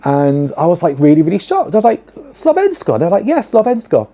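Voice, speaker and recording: Camp British drag host, dark tone. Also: male voice, wildly swooping pitch, brisk. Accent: British English. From United Kingdom. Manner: camp, theatrical, swings into sudden high exclaims; many "um" fillers, chatty and self-mocking. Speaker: drag queen podcast host. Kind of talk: chatty solo talk to camera.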